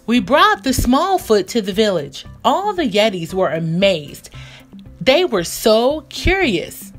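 Female children's read-aloud narrator: higher-pitched, expressive, unhurried, with music underneath. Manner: expressive, deliberate storytelling. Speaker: children's storybook reader.

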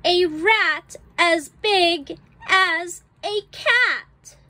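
A woman reading an early phonics book aloud for children as a teacher, enunciating each word deliberately and carefully. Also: very high voice, pushed and bright, no room sound. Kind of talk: slow read-aloud of an early reader for children.

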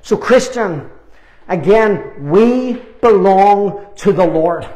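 An older man in his sixties preaches with fervour in a high-pitched voice. He drives points home with emphatic repetition and deliberate pauses. The room adds a clear echo around his words. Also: lively intonation, dark tone. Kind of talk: fervent sermon. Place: small church meeting room, indoors. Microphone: lapel mic. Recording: roomy, echoing meeting room.

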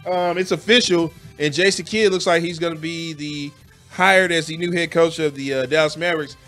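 A man's voice: a little high, fairly even intonation, normal speed, a bit bright, soft background music. A man hosting a casual sports talk show from a studio, joking and upbeat as he brings in a guest. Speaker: podcast host. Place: studio with desks and panels. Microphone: desk microphone on a boom.

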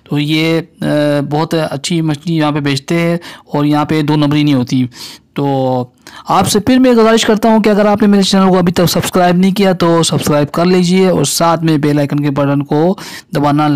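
A man's voice: a little high, clear, resonant tone. A man with a Pakistani accent narrating a food vlog in a casual, conversational style. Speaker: food channel vlogger.

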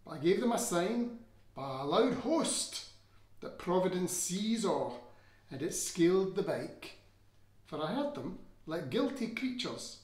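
A middle-aged Scottish man giving an expressive, old-fashioned storytelling reading of literary prose. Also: high-pitched, a little slow. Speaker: reader of a novel excerpt. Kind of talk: expressive literary reading in Scots-flavoured English. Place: small furnished room indoors. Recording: roomy indoor echo.

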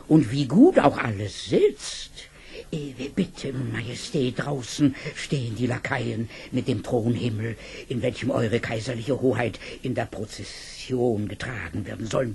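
An actor playing a hesitant, deferential, flattering court minister in a German fairy tale audio play. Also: male voice, medium pitch, creaky. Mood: uneasy, flattering. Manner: halting, deferential.

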